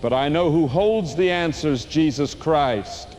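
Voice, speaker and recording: A man playing a consoling, fervent small-town preacher in an audio drama, giving a grave and deliberate sermon. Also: a little high, a little mellow, slight background noise, natural voice.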